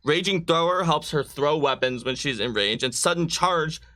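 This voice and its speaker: A man's voice: on the high side, moderate pace, sharp tone. A man casually explaining rules and options as a host on a live tabletop game stream. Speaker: game host guiding character creation.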